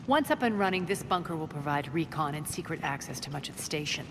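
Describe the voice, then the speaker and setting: An actress playing a commanding, vengeful alien crime boss in a video game, speaking in an imperious, coolly sardonic way. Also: female voice, deep voice, lively intonation, fast, background noise. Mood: brisk, commanding. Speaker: crime boss and rebel leader. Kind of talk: video game dramatic acting. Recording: loud game music, long reverb.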